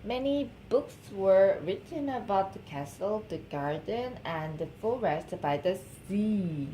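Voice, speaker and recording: A woman with a Korean accent, a native Korean speaker, reading a storybook aloud to her baby in affectionate, cooing baby talk with drawn-out repeated words. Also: mid-range voice, animated, warm tone, a little hiss or hum, rough recording. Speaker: mother reading a picture book to her baby.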